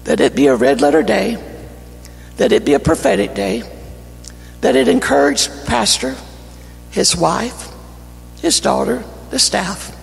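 A man with a North Carolina Southern accent, an evangelist praying and preaching a fervent sermon that builds to shouting in a church. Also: high-pitched, very animated, a little slow, gravelly, long pauses. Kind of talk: sermon with opening prayer. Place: church sanctuary. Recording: church hall reverb, faint music.

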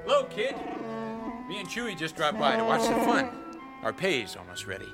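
A man's voice: fairly high pitch, wildly swooping pitch, moderate pace, loud music behind the voice. A man playing a cocky, sardonic smuggler in a radio drama, talking in a wry, casual, taunting way. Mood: wry, teasing.

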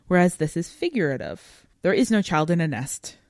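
Low-voiced woman podcaster, dry close sound. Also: sing-song, moderate pace, a little mellow, dry recording. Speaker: podcast co-host. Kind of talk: podcast discussion and sign-off.

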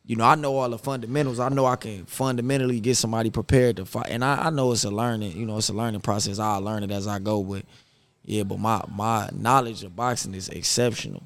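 A man's voice: on the low side, fast talker, no room sound. A man, talking casually and reservedly as an interview guest, with slangy phrasing. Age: 30s. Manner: reserved, even-keeled, slangy. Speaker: podcast guest, boxer.

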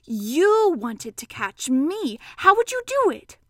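A woman voicing a gentle, naive male bear from a children's storybook, thinking slowly aloud with hesitant, drawn-out words. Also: high voice, very animated, no room sound, clean studio sound, voice pushed up, full character voice. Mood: dreamy, eager.

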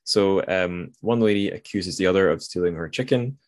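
Male podcast host, deep voice, dry close sound, informal and halting book talk. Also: dry recording. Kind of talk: literary podcast conversation.

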